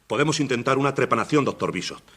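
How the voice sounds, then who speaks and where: An actor playing an earnest surgical team doctor in a radio drama, husky. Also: male voice, medium pitch, animated, fast, a bit bright. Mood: concerned. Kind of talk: vintage Spanish radio drama acting.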